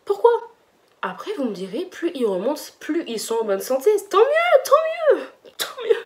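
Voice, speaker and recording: A woman's voice: high voice, huge pitch swings, normal speed, darkish tone. A young woman chatting casually through a home hair tutorial, slangy and playfully dramatic. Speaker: hair vlogger.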